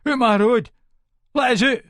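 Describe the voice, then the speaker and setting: A man acts out a scared young Edinburgh crook in an audiobook. His Scottish voice is pitched high and strained upward, pleading and panicky. It is recorded very dry. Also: a little slow, fairly bright tone, no room sound. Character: frightened young small-time burglar, male, pleading. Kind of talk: acted audiobook dialogue.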